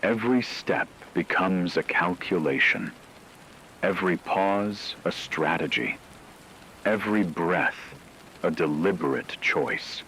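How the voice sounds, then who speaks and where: Male narrator for dramatic scripted story: deep, unhurried, grave and portentous, over faint ambient noise. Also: bright, forceful tone, low-quality recording. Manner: grave, measured, portentous delivery with deliberate pauses.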